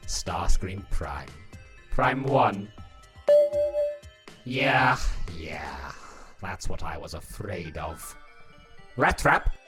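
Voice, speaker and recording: Casting: vain robot tyrant, deep but wildly animated, theatrical and haughty. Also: male voice, extremely slow, pauses often, music in the background, full character voice. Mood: brisk, worried. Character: vain, pompous, irritable robot ruler, self-glorifying schemer. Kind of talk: voice acting in a cartoon fan dub.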